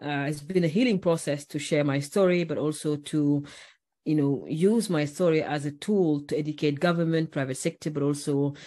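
A woman's voice: deep voice, steady tone, moderate pace, dark tone. A woman from Senegal, a podcast guest telling her story earnestly, with many "uh"s and "you know"s. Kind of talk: podcast interview.

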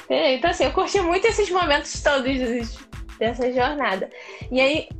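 A woman from Brazil chatting as an interview guest, animated and laughing, with many restarts. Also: high voice, normal speed, faint music behind. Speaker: interview guest, English learner. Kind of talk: casual live interview chat.

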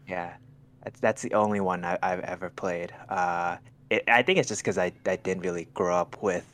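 Very low-voiced hesitant man from Thailand. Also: steady tone, moderate pace, fairly bright tone. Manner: hesitant, many restarts and "like" fillers. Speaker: podcast guest. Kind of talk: casual chat over a call.

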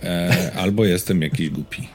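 Low-pitched man in rambling casual chat. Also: moderate pace, darkish tone, breathy edge. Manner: informal, slangy, rambling storytelling. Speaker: podcast co-host. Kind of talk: casual chat about games and series.

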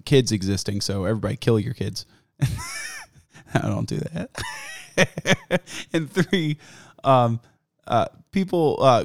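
A young man with a sick, fraying voice rambles through his podcast, cursing freely and laughing at himself. He sits close to the mic in a dead, dry room with no echo, and he talks at an everyday pitch. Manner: profane, rambling, self-mocking. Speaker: podcast host. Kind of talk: casual solo podcast chat. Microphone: studio mic, close.

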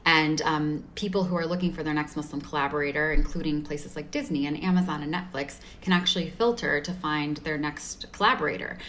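A woman's voice: deep voice, brisk, few pauses, fairly bright tone, slight background noise. A woman speaking as an interview guest, explaining her organization's work in an articulate, enthusiastic way. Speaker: guest representing an advocacy organization.

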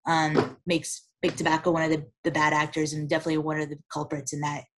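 A woman who is a guest expert on a podcast, explaining in a measured, steady way with some fillers. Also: deep voice, a little hiss or hum. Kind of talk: casual podcast conversation.

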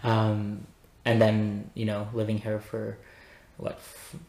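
A man's voice: lowish voice, monotone, slow, darkish tone. Chatty young man in an echoey room. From born in Afghanistan, raised in Pakistan and Canada. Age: 20s. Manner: chatty, laughs often, many "um"s. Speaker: podcast guest. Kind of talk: casual chat.

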